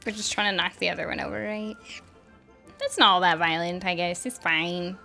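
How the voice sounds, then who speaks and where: A woman's voice: on the low side, fairly even intonation, normal speed, creaky, sharp tone, soft background music. A woman streamer giving casual commentary over a video game, giggly and chatty, reacting aloud.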